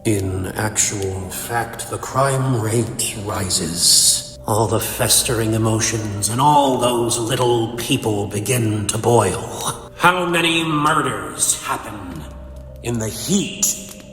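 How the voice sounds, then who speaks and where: A man's voice: lowish voice, takes it slowly, a bit bright. A man playing a cold, bitter, cerebral supervillain in a comic dub, speaking in a theatrical, condescending, verbose manner. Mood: cold, musing. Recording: echoey hall reverb, faint music behind.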